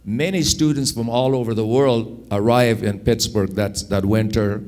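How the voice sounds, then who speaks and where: A man in his sixties from Afghanistan with an Afghan accent, telling personal stories in an unhurried, anecdotal way, repeating phrases for emphasis. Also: medium pitch, steady tone, a little mellow. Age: 60s. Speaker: speaker telling his life story. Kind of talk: personal storytelling.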